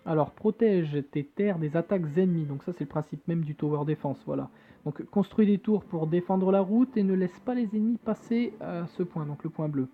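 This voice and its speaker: A man giving casual, hesitant commentary as he plays a phone game and reads its text aloud, with many fillers and jokey asides. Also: fairly high pitch. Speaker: gamer playing on a phone. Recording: tinny phone-like screen recording with faint game music. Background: faint game music.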